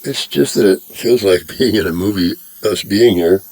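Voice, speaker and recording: A man voicing a young male American humorist in a read-aloud story, excited and laughing in disbelief. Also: lowish voice, moderate pace, dry recording, rough recording. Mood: starstruck.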